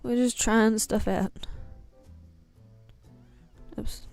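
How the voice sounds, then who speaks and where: A woman's voice: a little high, fairly even intonation, drawn-out words, a little mellow, soft background music. A young woman with a New Zealand accent chatting casually while drawing on stream, rambling with lots of fillers. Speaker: art streamer and English teacher.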